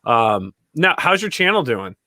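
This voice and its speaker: A man with a very bright, forward voice hosts a casual livestream. His pitch sits in the middle of the male range, and the sound is dry and close, with little room in it. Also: sing-song, measured pace, no room sound. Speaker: host of a comics crowdfunding livestream.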